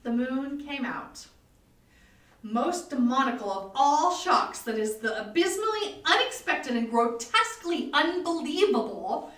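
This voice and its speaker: Female horror-story reader: high voice, very animated pitch, theatrical and suspenseful, recorded at a distance in an echoey room. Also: measured pace. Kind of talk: dramatic reading of a gothic horror story. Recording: roomy echo, distant mic, live online stream.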